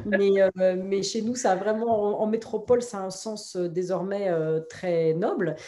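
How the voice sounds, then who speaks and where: A woman's voice: mid-range voice, normal speed, a crowd in the background. A woman film director with a cold and a nasal, congested voice, explaining her subject eagerly, with many fillers and restarts. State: has a cold. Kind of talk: interview answers.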